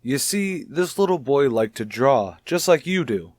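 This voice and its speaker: An actor playing a gentle, probing child psychologist in a radio soap, speaking in a measured, soothing, tactful way. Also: male voice, medium pitch, lively intonation, dry recording, studio quality, lightly acted. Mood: kindly probing. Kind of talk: old radio soap opera acting.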